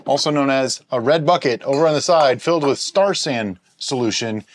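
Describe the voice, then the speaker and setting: A man's voice: mid-range voice, normal speed, over music. A middle-aged man hosting a home brewing show, casually explaining each step as he works at a table. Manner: animated, explains steps while handling gear. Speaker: homebrewing show host. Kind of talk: casual instructional chat. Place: home studio with shelves of bottles.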